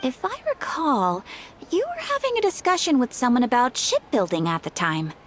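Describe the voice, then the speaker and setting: A woman's voice: high voice, huge pitch swings, normal speed, a little hiss or hum. A woman voicing a polite, poised guild receptionist in a video game, speaking formally.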